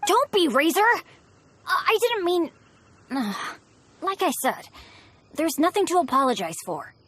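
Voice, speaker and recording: A woman voicing an earnest, excitable teenage boy adventurer in a video game, boastful yet kind, with a boyishly dramatic delivery. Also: a little high, a little hiss or hum, natural voice. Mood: indignant, apologetic.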